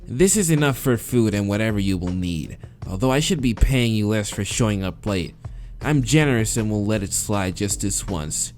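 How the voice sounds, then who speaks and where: Vincent, curt monster boss read by a man, low-voiced. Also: lively intonation, moderate pace, a little mellow, lightly acted. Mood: grudging. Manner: curt, condescending, grudging. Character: Vincent, curt male demon-creature library boss, impatient and haughty. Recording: music behind the voice.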